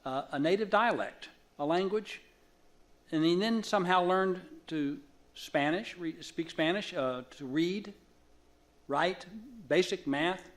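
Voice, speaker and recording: A man's voice: high-pitched, takes it slowly. A man giving a formal introduction speech at an awards ceremony, as the board chair.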